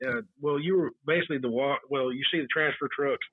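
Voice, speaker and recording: A man's voice: mid-range voice, fast talker, husky, no room sound. A man telling anecdotes as a guest on a casual podcast, with lots of fillers. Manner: storytelling with many fillers. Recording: tinny phone-line sound.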